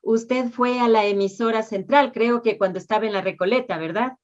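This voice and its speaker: A woman's voice: fairly high pitch, moderate pace. A woman interviews a guest with courteous, leading questions. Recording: muffled lo-fi recording.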